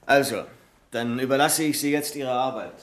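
An actor playing a correct, firm senior bank clerk with a formal, restrained manner in a German radio drama. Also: male voice, mid-range voice, brisk, close to an everyday voice. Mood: polite, formal. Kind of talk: German radio crime comedy acting. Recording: noisy old radio drama recording.

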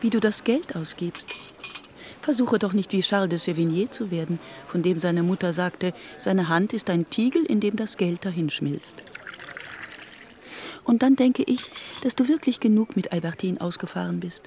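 Actress as a mellow, gently reproachful mother. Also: lowish voice, moderate pace, slight background noise, close to an everyday voice. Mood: reproachful, concerned. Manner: admonishing, cultivated. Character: narrator's mother, middle-aged, gently reproachful, concerned. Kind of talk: German literary radio drama acting.

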